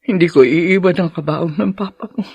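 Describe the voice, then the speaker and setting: An actress playing a middle-aged wife and mother grieving her husband in a radio drama, with an emotional, drawn-out delivery. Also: fairly low pitch, a little mellow, breathy edge, no room sound. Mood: sorrowful, weary.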